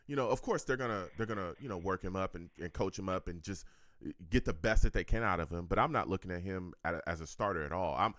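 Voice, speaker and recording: A man hosting a casual sports talk show, lively and prone to repeating words as he starts his thoughts. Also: low-pitched, quick pace, no room sound. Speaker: podcast host.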